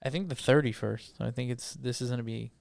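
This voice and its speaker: A man's voice: mid-range voice, fairly even intonation, fairly quick, gravelly, a crowd in the background. A young man co-hosting a podcast from a home studio, chatting casually with playful mock outrage. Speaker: podcast co-host. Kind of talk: casual chat. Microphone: studio mic with headphones.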